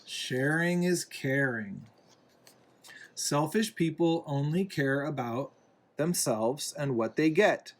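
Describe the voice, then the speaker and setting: A man reading a children's picture book aloud in a deliberate way, pausing between phrases. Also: fairly high pitch, animated. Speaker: reader, parent reading to a young child.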